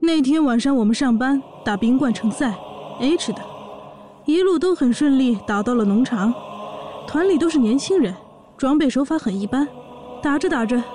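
Woman playing brash, high-voiced orc warrior big sister. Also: warm tone, slight background noise. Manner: sassy, blunt, bossy. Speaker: voice actor in a fan audio drama. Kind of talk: audio drama acting and narration.

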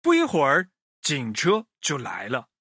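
A man telling a children's story in an expressive way, speaking directly to his young listeners. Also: fairly high pitch, measured pace, slightly rough, no echo, studio quality.

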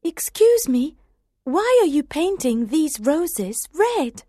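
A woman's voice: sits high, very animated, slow pace, mellow, no room sound, clean studio sound, full character voice. A woman playing a curious, timid but plucky young girl in a children's storybook, speaking in a careful, girlish way. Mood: timid, gentle.

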